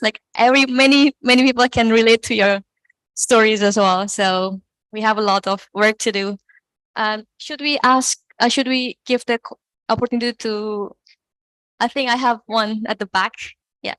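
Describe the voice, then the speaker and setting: A woman's voice: fairly high pitch, normal speed, fairly bright tone, dry recording, rough recording. A woman moderating a lecture question session, polite and slightly hesitant.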